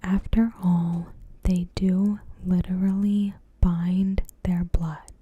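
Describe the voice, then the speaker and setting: A woman doing ASMR commentary in a breathy, hushed whisper, measured and deliberate, close to the microphone. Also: on the low side, level tone, dry recording. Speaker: ASMR creator reviewing a TV episode. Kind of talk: ASMR, soft scripted commentary. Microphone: close microphone in a dry space.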